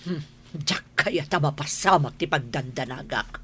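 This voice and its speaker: A woman's voice: sits low, unhurried, bright, forceful tone, husky, exaggerated voice. A woman playing a stern, suspicious, haughty mother who disdains housemaids in a radio drama. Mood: indignant, threatening. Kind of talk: Ilocano radio drama acting.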